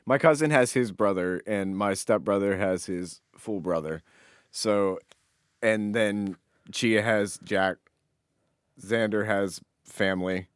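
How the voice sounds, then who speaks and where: A man's voice: on the low side, takes it slowly, clear, resonant tone, no room sound, studio quality. A man co-hosting a podcast, bantering casually with a friend in a blunt, sometimes sweary manner. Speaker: podcast co-host.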